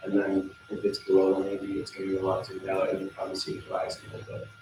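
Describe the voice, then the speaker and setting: A man's voice: deep voice, completely flat delivery, very fast. A young man, a student presenter, giving an informal explanation during a presentation. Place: large echoey indoor room. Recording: very echoey room, distant mic, noisy.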